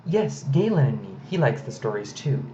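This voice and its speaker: A man's voice: medium pitch, big pitch swings, moderate pace, mellow, lightly acted. A man voicing an eager, insistent little girl in a children's storybook. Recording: slightly noisy, dull home recording.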